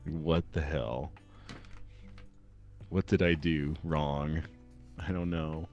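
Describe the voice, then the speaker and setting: Young guy with a super deep radio voice, laid back stream chat, little music under it. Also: drawn-out words, lo-fi sound. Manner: relaxed, narrates his work as he goes. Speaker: streamer designing a keyboard. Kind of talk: casual live-stream chat. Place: small room at home. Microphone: headset or desk mic at a computer.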